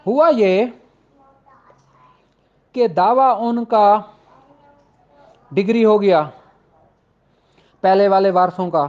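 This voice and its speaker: Male law teacher, notably high voice, informal and insistent explainer who restates each point, dull low-fidelity sound. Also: drawn-out words, pauses often, darkish tone. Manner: emphatic, repeats points for clarity. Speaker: law lecturer. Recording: dull, lo-fi audio.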